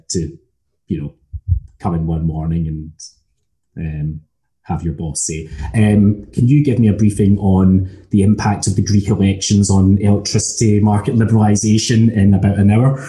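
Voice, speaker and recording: Scottish man, academic, very dark tone, lowish voice, measured, thoughtful, frequent pauses. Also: steady tone, rough recording. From Scotland. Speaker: academic lecturer. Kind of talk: casual academic conversation.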